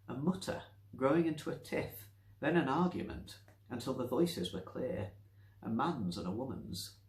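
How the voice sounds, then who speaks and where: A man reading a story aloud as expressive scripted narration. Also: medium pitch. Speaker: story reader. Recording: roomy, echoey sound.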